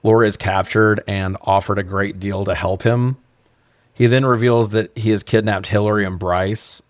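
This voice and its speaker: A man's voice: lowish voice, fairly even intonation, moderate pace, dry recording. A man co-hosting a podcast, giving a casual, sardonic film recap with plenty of swearing. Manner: sardonic, profane. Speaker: podcast co-host.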